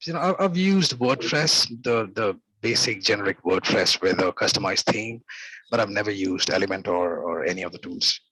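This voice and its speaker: A man's voice: on the low side, expressive, normal speed, sharp tone, husky, rough recording. A man from India introducing his business on a video call, hesitant, with many fillers and restarts. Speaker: webinar participant, company owner.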